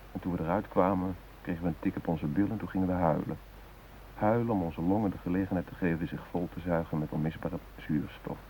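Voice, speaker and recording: A man acting an earnest, guilt-ridden humanist in a radio play, musing in deliberate, introspective phrases. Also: low-pitched, mellow, rough recording. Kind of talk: radio drama monologue. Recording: hissy old radio play recording.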